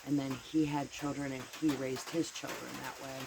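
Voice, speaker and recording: Woman vlogger, casual rambling porch chat, repeats herself, loud rain on a tin roof behind. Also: extremely low pitch, flat delivery, moderate pace, gravelly, noisy background. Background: heavy rain.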